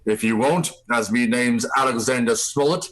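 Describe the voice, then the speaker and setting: A man playing a gruff, defiant ship's captain in a radio play, declaiming deliberately in old seafaring talk. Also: mid-range voice, a bit bright, natural voice. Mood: stern, defiant.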